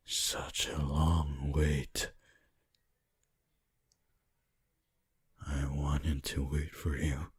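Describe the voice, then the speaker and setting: A man voicing a possessive, sinister male vampire in an ASMR roleplay, theatrical and menacing, with a breathy voice that often drops toward a whisper. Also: rumbling low, voice pushed down, heavily acted. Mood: hushed, seductive. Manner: theatrical, slow, menacing, archaic phrasing.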